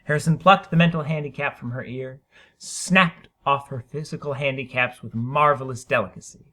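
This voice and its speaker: A man's voice: mid-range voice, bright, forceful tone. A man narrating a short story as a dramatic reading, with theatrical, emphatic delivery. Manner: theatrical, emphatic, deliberate phrasing.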